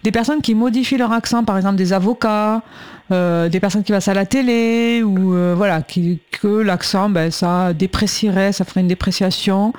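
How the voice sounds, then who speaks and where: Woman, southern French accent, Gers origin, relaxed radio guest. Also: mid-range voice, moderate pace, clean tone. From Gers, southwest France. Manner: chatty, loose with fillers. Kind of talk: casual radio chat.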